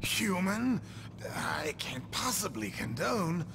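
An actor playing an anxious, deferential middle-aged scientist in a video game cutscene, speaking hesitantly. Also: male voice, high-pitched, big pitch swings, slow, gravelly, noisy background, heavily acted. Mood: alarmed. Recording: loud game music behind.

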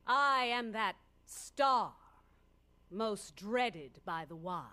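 A middle-aged woman performing an ominous, majestic star spirit in a deliberate, incantatory verse reading. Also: medium pitch, expressive, bright, forceful tone, exaggerated voice. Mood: solemn warning. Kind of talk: formal dramatic verse reading. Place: concert stage in a radio performance hall. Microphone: close studio mic on boom.

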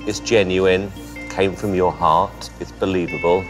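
Low-voiced male talent show judge over music. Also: fairly even intonation. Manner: emphatic, deliberate, repeats words for stress. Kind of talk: earnest spoken feedback to a contestant.

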